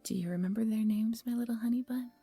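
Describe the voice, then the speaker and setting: A woman voicing an old grandmother storyteller in an audio drama, speaking in a breathy, hushed and deliberate way. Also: on the high side. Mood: doting, teasing. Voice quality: breathy, whispery. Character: gentle old grandmother storyteller, turning eerie and insistent. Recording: music under the voice.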